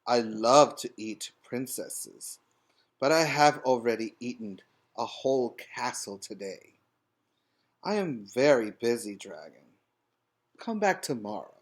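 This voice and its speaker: A man's voice: medium pitch, lively intonation, takes it slowly, voice pushed down. A man voicing a boastful, dismissive dragon who loves eating princesses, in a pompous manner for a children's storybook. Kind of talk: storybook read-aloud for young children.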